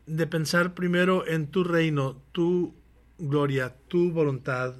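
A man's voice: a little high, steady tone, takes it slowly. A man preaching in a church, earnestly urging his congregation and then leading them in prayer, often restarting his phrases. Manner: earnest, exhorting, with frequent restarts. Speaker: pastor. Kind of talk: sermon with closing prayer.

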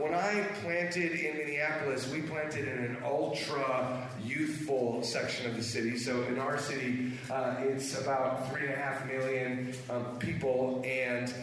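A man who is a pastor, speaking energetically to a conference crowd with many restarts, his voice a bit hoarse. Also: medium pitch, fairly even intonation, measured pace, fairly bright tone, slight background noise. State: slightly hoarse. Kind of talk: conference panel talk. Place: large conference hall. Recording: echoey hall, from far off.

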